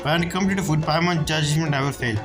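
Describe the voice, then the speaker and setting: Female game streamer, low-pitched, offhand casual reactions, clear game music behind her. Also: quick pace. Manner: offhand short remarks, talking to game characters. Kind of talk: casual playthrough commentary.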